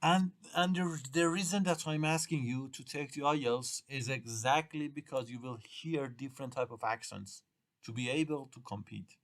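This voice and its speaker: Man with a Persian accent, halting lecture. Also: medium pitch. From Iran. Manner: halting, restarts and repeats phrases, uneven grammar. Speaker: English exam teacher. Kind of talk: workshop lecture to students.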